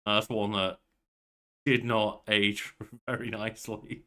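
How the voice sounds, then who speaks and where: A man's voice: on the low side, flat delivery, slow, bright, forceful tone. A young man co-hosting a podcast, chatting casually from a room on a video call. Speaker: podcast co-host. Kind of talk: casual movie chat.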